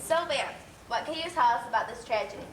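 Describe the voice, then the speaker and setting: A woman's voice: high voice, animated, fast talker, a bit bright. A woman playing an earnest nursery-rhyme news reporter in a children's stage play. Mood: earnest, reporting. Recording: echoey stage, distant mic, faint noise.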